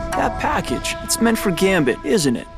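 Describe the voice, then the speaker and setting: A determined young male game hero, eager and earnest in a slightly high voice. Loud music plays behind the lines. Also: very animated, normal speed. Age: young adult. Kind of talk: video game voice acting.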